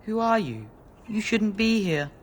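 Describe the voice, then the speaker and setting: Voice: mid-range voice, big pitch swings, measured pace. An actor playing a wary, eerie little village boy in a fantasy game, guarded and defiant. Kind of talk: fantasy video game dialogue.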